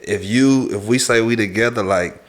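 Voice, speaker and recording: A man's voice: fairly low pitch, moderate pace. Frank, slangy man on a panel. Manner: frank, opinionated, often checks he is understood. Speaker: talk show panelist. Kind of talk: casual chat, slangy.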